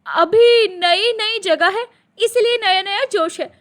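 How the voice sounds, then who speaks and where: A woman's voice: extremely high pitch, takes it slowly, a bit bright, heavily acted. A woman playing a lazy, sardonic elder sister-in-law in a family story, teasing and theatrical.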